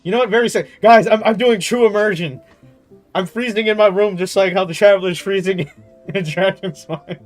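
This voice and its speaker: A man's voice: high-pitched, normal speed. A young man livestreaming a game from his room, chatting casually with slangy, playful reactions. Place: in a room at home. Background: faint game music.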